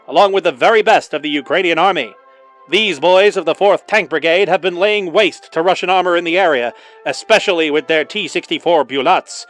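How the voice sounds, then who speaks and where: A man's voice: high voice, fairly bright tone. A man playing a rousing, patriotic 1940s wartime newsreel announcer, narrating in a dramatic, declamatory style over music. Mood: rousing, defiant.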